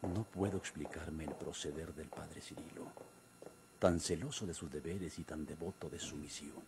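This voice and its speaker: An actor playing a grave, authoritative Mexican priest in a radio drama, speaking in a measured, formal way. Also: male voice, low-pitched, darkish tone, slight background noise. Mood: solemn, puzzled. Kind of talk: golden-age radio drama acting.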